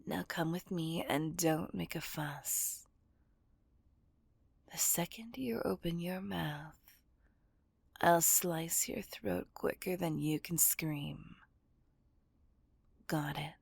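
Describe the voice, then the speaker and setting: A woman playing a menacing, dominant villain kidnapper in a whispered ASMR roleplay, commanding and mocking in a breathy hush close to the microphone. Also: deep voice, slow, pauses often, no room sound, heavily acted. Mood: cold, threatening.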